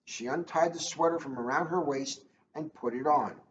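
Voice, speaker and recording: A man's voice: on the high side, moderate pace. A man reading a children's book aloud as a police officer, chatting and joking at his own expense in casual asides. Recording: echoey room, dull lo-fi, faint noise.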